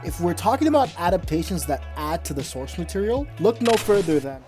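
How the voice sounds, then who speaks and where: A man's voice: high-pitched, sing-song, a little fast, loud music behind the voice. A man narrating a scripted opinion piece as a video essay host, casual and emphatic in manner.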